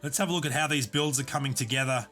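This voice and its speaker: A man casting a live online game tournament, giving steady, explanatory play-by-play. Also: medium pitch, fast talker, soft background music. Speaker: esports caster and streamer. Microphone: desk USB condenser mic.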